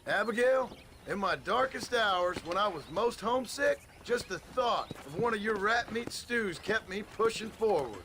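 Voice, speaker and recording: Folksy Western outlaw turned rancher. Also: male voice, high-pitched, animated, normal speed, sharp tone, slight background noise. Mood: teasing. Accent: American Western. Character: gruff former outlaw turned rancher, husband and father. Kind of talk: video game voice acting.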